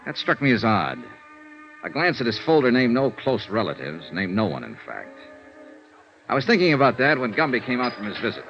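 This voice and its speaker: An actor playing a tough but decent insurance investigator in a vintage radio detective drama, clipped and hardboiled, on an old recording. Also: male voice, mid-range voice, wildly swooping pitch, brisk, dull audio, soft background music. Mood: dry, reflective. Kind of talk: 1950s radio detective drama acting, narrating and dialogue.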